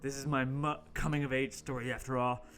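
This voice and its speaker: A man's voice: mid-range voice, flat delivery, a little fast, faint music behind, voice pushed up, lightly acted. A man playing a jumpy young intern, narrating his horror tale in a melodramatic, ominous way for an amateur radio play. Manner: melodramatic, ominous storytelling broken by shouted sound effects.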